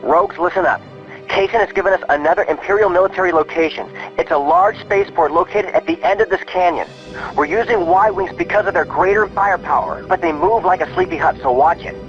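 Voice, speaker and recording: Young hero pilot leader from a game, earnest, kinda high voice, really animated, lo-fi sound. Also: male voice, a little fast, vocal fry. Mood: briefing. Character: young heroic rebel squadron leader, earnest and encouraging. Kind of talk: video game cutscene acting. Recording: dull lo-fi game audio, faint music.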